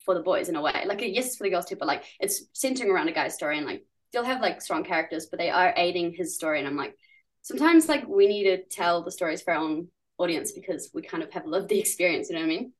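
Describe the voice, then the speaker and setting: A woman's voice: fairly low pitch, expressive, quick pace, low-quality recording. A young woman from New Zealand, a musician guest chatting casually in an interview, full of "like" fillers and giggles.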